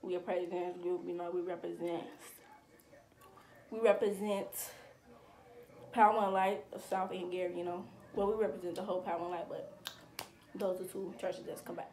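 A woman's voice: lowish voice, moderate pace, long pauses. An eighteen-year-old woman answering viewer questions in a casual, slangy chat, recorded on a webcam at home. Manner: chatty, slangy, animated with hand gestures. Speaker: vlogger. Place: small room at home, indoors.

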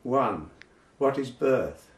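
An earnest scripture reciter at an imperial court in a radio drama. He speaks solemnly and methodically in a medium-pitched voice, in a roomy, echoing space. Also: lively intonation, drawn-out words. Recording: echoey, roomy sound.

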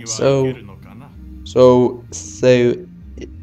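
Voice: medium pitch, completely flat delivery, very slow, creaky, warm tone, faint music behind. A let's player reading visual novel text aloud in an informal way, stumbling over words and dropping in casual asides.

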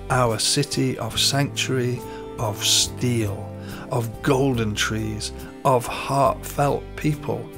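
A man reading his own poems in a measured, deliberate way, pausing between phrases. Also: mid-range voice. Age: 50s. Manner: deliberate, pausing between short phrases, voice dropping at line ends. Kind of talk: slow, measured poetry reading. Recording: soft music underneath.

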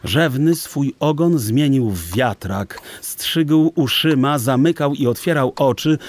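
Slow, theatrical male storybook narrator. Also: medium pitch, a little hiss or hum. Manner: theatrical, deliberate, literary. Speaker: audiobook narrator. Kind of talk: expressive scripted storybook narration for children.

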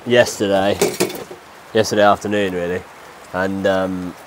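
A man's voice: sits low, level tone, unhurried, rough recording. A man in his thirties with a stuffy nose from a cold, casually explaining a DIY job step by step while he works outdoors. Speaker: DIY vlogger. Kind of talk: casual how-to walkthrough. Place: outdoors under a shelter in the rain. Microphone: lapel mic. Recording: light rain noise behind.

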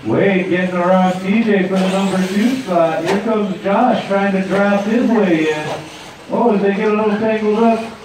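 A man's voice: high-pitched, moderate pace, very echoey, far from the mic, noisy background. A man giving live play-by-play commentary at an outdoor dirt track. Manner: steady play-by-play calling. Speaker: race announcer.

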